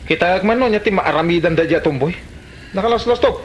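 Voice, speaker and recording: Hot-headed comedy-drama husband played by a man, Ilocano accent, high voice with animated swoops, very noisy rough recording. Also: fairly quick, dull audio. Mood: scornful, riled up. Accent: Ilocano Filipino.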